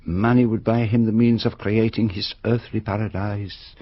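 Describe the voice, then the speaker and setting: Belgian detective, French-tinged accent, low husky voice, dry close sound, deliberate, self-assured, radio drama. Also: male voice, fairly even intonation, no echo. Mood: assured explaining. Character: assured, methodical Belgian male detective explaining the crime. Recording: dull, roomless radio drama recording.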